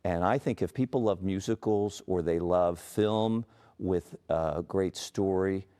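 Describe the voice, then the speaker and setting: A man's voice: deep voice, measured pace, mellow, clear, resonant tone. A middle-aged man guest on a TV morning show, speaking sincerely and reflectively as a parent. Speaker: parent and ballet creator. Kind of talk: TV interview.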